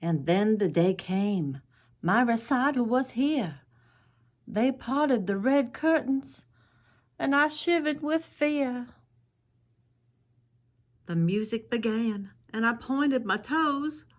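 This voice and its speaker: A woman's voice: a little high, animated, takes it slowly, long pauses. A woman voicing a little zombie ballerina girl, dramatic and eager, in a theatrical children's storybook reading. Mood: dramatic, spooky.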